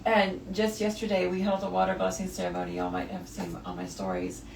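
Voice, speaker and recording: An effusive woman hosts a relaxed spiritual podcast chat, laughing easily between thoughts. Her voice carries a gravelly fry. She sounds set back from the mic in an echoey room with a faint hiss behind. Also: fairly low pitch, brisk. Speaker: podcast host. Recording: roomy, distant mic with faint noise.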